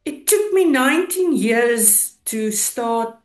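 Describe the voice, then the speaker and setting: A South African woman in her fifties, giving personal testimony in an interview in a halting, reflective way, often pausing mid-sentence. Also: a little high, expressive. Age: 50s. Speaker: interview guest, police officer. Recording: roomy, echoing sound.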